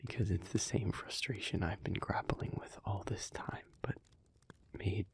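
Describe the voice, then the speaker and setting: A man playing a brooding, devoted demon in an intimate audio roleplay, speaking in solemn, halting, measured phrases. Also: on the low side, fairly even intonation, creaky, husky, whispered, heavily acted. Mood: somber, earnest.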